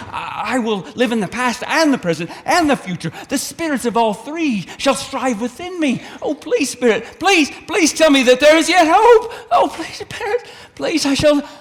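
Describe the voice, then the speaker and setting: An actor playing an older repentant miser who pleads breathlessly and breaks into laughter. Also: male voice, very high-pitched, expressive, normal speed, few pauses, long reverb tail. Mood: vowing. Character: repentant old miser, frantic then giddy with joy.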